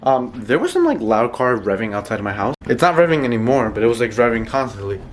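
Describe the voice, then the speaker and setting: A man's voice: mid-range voice, sing-song, quick pace, slight background noise. A teenage boy from New York rambling in a casual home vlog, with a congested, nasal voice and lots of fillers. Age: teens. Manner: rambling, filler-heavy, self-conscious. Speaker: vlogger. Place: home, indoors.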